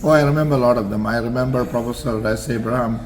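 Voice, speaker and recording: An older man from Singapore speaks in a measured, formal manner, pausing often with fillers as he reminisces. His voice sits at an ordinary middle pitch for a man. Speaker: interview guest, alumnus. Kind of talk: reminiscing interview answers.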